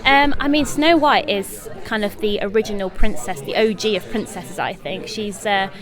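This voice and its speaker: A woman's voice: mid-range voice, normal speed, over music. An enthusiastic, effusive young woman with a British accent, answering interview questions as a pantomime actress. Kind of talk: interview chat. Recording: chattering crowd behind the voice. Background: busy press event crowd.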